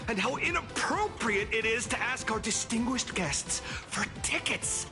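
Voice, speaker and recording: Courteous, lilting game greeter. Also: male voice, extremely high pitch, expressive, measured pace, music in the background. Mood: courteous, welcoming. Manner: formal, polite, theatrical. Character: courteous, smooth male venue greeter, gracious. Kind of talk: anime game voice acting.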